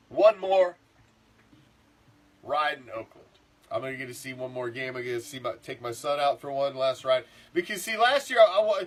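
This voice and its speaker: Middle-aged man, congested, rough throat, profane heated rant, fairly high voice. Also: a bit bright. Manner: heated, swears a lot, repeats himself. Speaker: sports podcast host. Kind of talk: profane casual sports rant.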